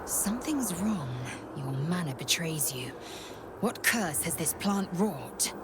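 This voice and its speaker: A haughty female alien warrior from a fantasy game, with a low and measured delivery. Her speech is formal and commanding, with little patience. Loud ambient noise sits behind her. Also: animated. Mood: stern, impatient. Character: stern, imperious female githyanki warrior, impatient and haughty. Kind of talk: video game character acting.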